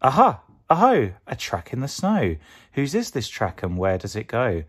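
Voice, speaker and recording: A man's voice: medium pitch, huge pitch swings, moderate pace, breathy edge, voice pushed up. A man voicing a brave, curious young female monster child in a storybook, exclaiming and asking eagerly. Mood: excited discovery. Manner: exclamatory, questioning.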